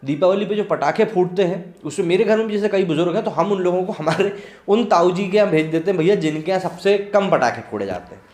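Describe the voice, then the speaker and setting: A man speaks in a reverberant room with a somewhat high-pitched voice. He reports and comments on news like an online host, addressing listeners directly in an earnest, explanatory way. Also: quick pace, darkish tone.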